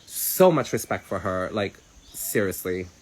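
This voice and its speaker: A man's voice: sits low, expressive, a little slow. A man whose first language is Thai, chatting casually on a live stream, reading viewer comments and rambling while laughing at himself. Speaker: drag performer on a live stream.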